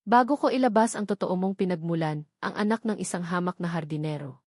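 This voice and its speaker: A woman's voice: on the low side, fairly quick, darkish tone, no echo, clean studio sound, natural voice. Woman voicing Clara, sarcastic jealous rich girl. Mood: threatening. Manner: sarcastic, mixes English into her Tagalog.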